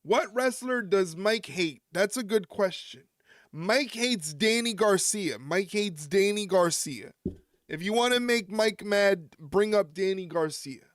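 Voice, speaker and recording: A man's voice: high voice, measured pace, no room sound, clean studio sound. A man hosting a wrestling live stream, rambling and joking with his chat and often chuckling. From United States.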